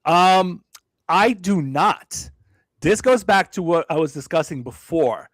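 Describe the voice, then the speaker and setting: Brief: reflective male guest from Brooklyn, slightly higher pitch, dry close sound. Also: lively intonation, fairly bright tone, no room sound. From Brooklyn, New York. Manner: measured, self-deprecating, reflective; frequent hedging fillers and restarts. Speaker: podcast guest. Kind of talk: casual interview conversation.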